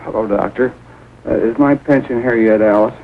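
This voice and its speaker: A man's voice: medium pitch, normal speed, close to an everyday voice. An actor playing a shiftless father living on pension checks in a 1940s film. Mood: sheepish, scolding. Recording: tinny, noisy 1940 film soundtrack.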